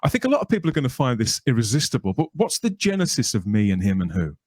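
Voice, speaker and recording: A man's voice: medium pitch, wildly swooping pitch, quick pace, no echo. Male podcast host, close dry sound. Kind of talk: podcast introduction and interview.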